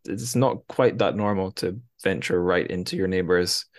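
A man's voice: sits low, moderate pace. A man hosting a podcast, chatting casually about fiction and feeling for his words as he retells it with some animation. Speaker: podcast host. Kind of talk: casual book discussion.

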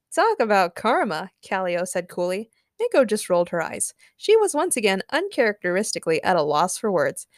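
A woman narrating a comic story from a script in a dramatic, expressive way, as a podcast host. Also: mid-range voice, dry recording, clean studio sound.

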